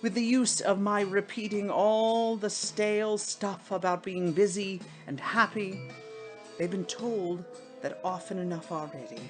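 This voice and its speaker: A man's voice: high-pitched, fairly even intonation, a little slow, faint music behind, pitched above the performer's own voice. A woman voicing a prim, irritable man who has newly died, protesting in a stammering, indignant way as a storybook character. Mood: exasperated, earnest.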